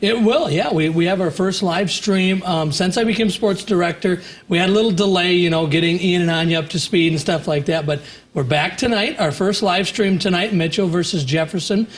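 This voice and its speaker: Young male sports director, a touch high-pitched, loose and rambling. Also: fairly quick. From South Dakota. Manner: relaxed, rambling with restarts. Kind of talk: casual live studio interview.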